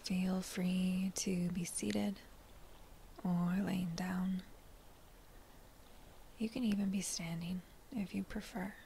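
A woman leading a guided meditation in a gentle, breathy near-whisper, speaking in short unhurried phrases with pauses between them. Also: on the low side, fairly even intonation, a little hiss or hum. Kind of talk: slow guided meditation narration.

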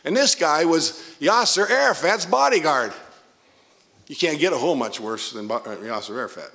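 A man's voice: a little high, animated, normal speed, sharp tone. An older man with a Midwestern American accent, a pastor preaching a sermon in a church sanctuary on a pulpit microphone, telling stories with emphatic asides.

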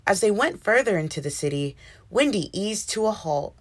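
A woman reads a children's story aloud. Her narration is animated and expressive, with pitch that moves widely. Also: normal speed. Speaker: children's book reader.

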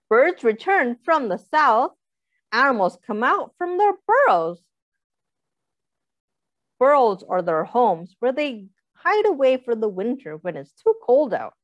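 A woman's voice: fairly high pitch, no echo, highs cut off. A young woman reading a picture book aloud to small children, expressively, with simple questions and explanations for her listeners. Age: young adult. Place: small indoor room, seated.

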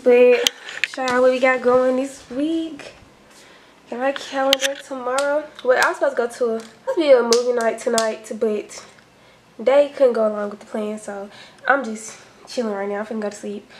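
A young woman vlogging casually to the camera in her dorm room, talking in a relaxed, slangy way. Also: on the high side, normal speed. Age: young adult. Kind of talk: casual vlog chat. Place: small dorm room and bathroom. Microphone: camera set a few feet away. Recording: roomy, distant, noisy home recording.

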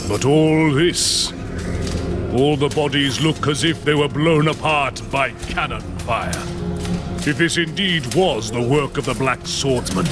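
A man playing a solemn, devout older knight in a comic dub, speaking formally and earnestly. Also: medium pitch. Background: background music.

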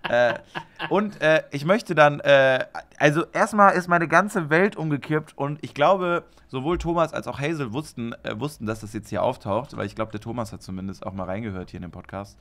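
A man's voice: medium pitch, normal speed, fairly bright tone. A young man co-hosting a podcast in casual chat, rambling with lots of fillers, swearing often and laughing easily. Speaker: podcast co-host.

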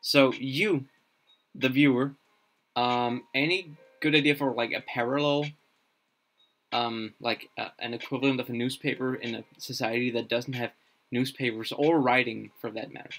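A young man whose first language is Danish, thinking aloud on a casual live stream, halting with many fillers and restarts, recorded in a small room. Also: mid-range voice, fairly even intonation, fairly bright tone. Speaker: streamer building a constructed language.

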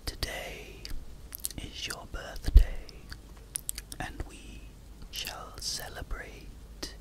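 An ancient, courtly vampire played by a middle-aged man whispers extremely slowly in an intimate ASMR roleplay. Each phrase is formal and deliberate, breathy and seductive. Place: small home bedroom, indoors.

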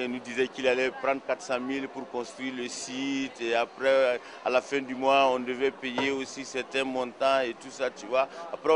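A man, an artisan, answering a street interview at a craft fair in a chatty, explaining way, into a handheld mic. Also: medium pitch. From Burkina Faso. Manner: chatty, explanatory, tags phrases with "quoi" and "tu vois". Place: busy outdoor craft fair stall. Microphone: handheld mic held by interviewer. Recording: crowd chatter behind.

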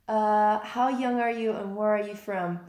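A woman's voice: mid-range voice, fairly even intonation, unhurried, a little mellow. Russian woman chatting on a roomy webcam. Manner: chatty, restarts sentences, laughs at own slips. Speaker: livestream host answering viewer comments. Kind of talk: casual livestream chat. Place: indoor room with high ceiling. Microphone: webcam at desk.

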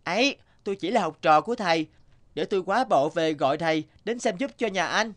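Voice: medium pitch, expressive, fairly quick, lightly acted. An actor voicing an eager, naive young male apprentice healer in a folk-tale cartoon, speaking earnestly. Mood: polite. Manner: deferential, admiring, earnest. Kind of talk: animated folk tale dubbing. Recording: music under the voice.

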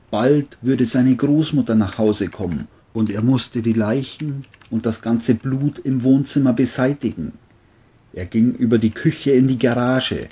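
A man's voice: mid-range voice, mellow, breathy edge. A man narrating a scripted crime story as an audiobook-style reading.

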